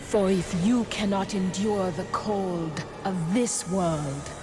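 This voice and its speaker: A woman playing a solemn, foreboding mystic guide in a video game, giving grave and measured warnings. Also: medium pitch, natural voice. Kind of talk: dramatic video game acting. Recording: loud game music underneath.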